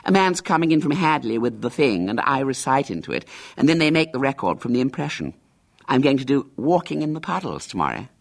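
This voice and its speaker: A man's voice: mid-range voice, very animated, a little fast, vocal fry, voice pushed up. A man reading a storybook, voicing a conceited, self-important young boy with a haughty, boastful manner. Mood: imperious.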